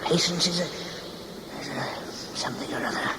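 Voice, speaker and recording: A man playing a sly, mocking cave-dwelling scavenger in a sci-fi audio play, teasing with drawn-out questions. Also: a little high, lightly acted. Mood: mocking, taunting. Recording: echoey cavernous reverb, noisy background.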